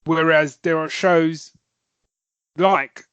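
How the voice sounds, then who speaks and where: A man's voice: on the high side, fairly even intonation, drawn-out words, a bit bright, smooth, dry recording, muffled recording. A man co-hosting a podcast, musing hesitantly and trailing off mid-thought. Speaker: podcast co-host. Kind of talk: casual chat.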